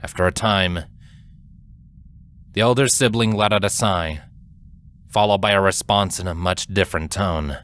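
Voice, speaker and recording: A man reading scripted dramatic fiction as a narrator, in a measured, solemn storytelling manner. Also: deep voice, a bit bright.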